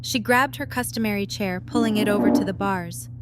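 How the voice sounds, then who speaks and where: A woman's voice: mid-range voice, brisk. A woman reading scripted third-person narration for an audio drama. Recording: clear background noise with hum.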